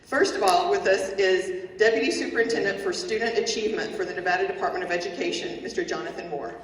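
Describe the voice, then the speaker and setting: A woman's voice: medium pitch, fast. A woman with a Texas accent emceeing a ceremony, introducing guests with friendly joking asides and small stumbles. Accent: Texan. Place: large indoor hall. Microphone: podium mic. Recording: echoey hall from far off, crowd noise.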